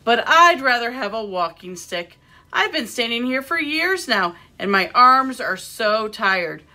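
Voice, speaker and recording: A woman's voice: a little high, big pitch swings, measured pace, bright, forceful tone, pitched above the performer's own voice, heavily acted. A woman voicing a weary, wistful scarecrow in a children's storybook, plaintive and wishful. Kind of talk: children's storybook read-aloud.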